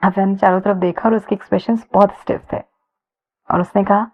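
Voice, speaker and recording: A woman's voice: medium pitch, steady tone, fairly quick. A woman narrating a romance story in a conversational storytelling style. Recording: dull lo-fi sound.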